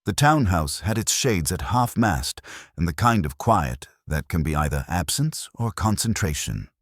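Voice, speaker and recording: A man's voice: low voice, big pitch swings, a little slow, no echo. A man reading scripted first-person detective fiction as a narrator, with a dry, wry delivery. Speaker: audiobook narrator.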